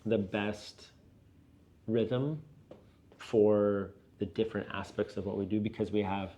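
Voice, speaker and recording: A middle-aged man talking casually and reflectively in an interview as a leader, explaining things step by step in a halting, thoughtful way. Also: fairly low pitch, steady tone, warm tone. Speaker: business and church leader being interviewed.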